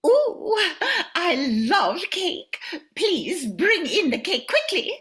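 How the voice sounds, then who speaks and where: Woman acting haughty noblewoman, high, swooping pitch, imperious, theatrical, radio comedy. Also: huge pitch swings, slow, fairly bright tone, full character voice. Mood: grand, eager. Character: haughty, self-important young noblewoman, the king's niece.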